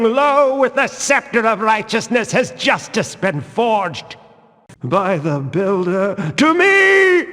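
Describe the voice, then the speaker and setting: Slow, solemn zealot guard in reverb. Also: male voice, on the high side, fairly bright tone. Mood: alarmed, fervent. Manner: solemn, archaic, preachy diction. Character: pious, stern male zealot guard of a fantasy order. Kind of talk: archaic scripted video game dialogue. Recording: long hall-like reverb.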